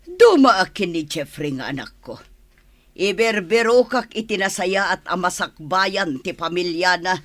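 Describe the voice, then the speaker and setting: A woman's voice: fairly low pitch, animated, a little slow, fairly bright tone, dry recording. A woman with an Ilocano accent playing a scheming, disapproving middle-aged mother-in-law in a radio drama.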